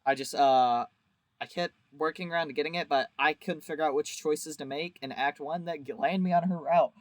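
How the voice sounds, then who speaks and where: A man's voice: a little high, fairly quick, no room sound. A man giving a casual, rambling video review as host, with a crude, jokey, exaggerated way of talking.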